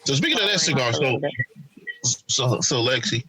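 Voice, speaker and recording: A male podcast host talking in loose, profane banter. His pitch moves about a lot. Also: big pitch swings, moderate pace, a crowd in the background, rough recording. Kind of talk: casual chat.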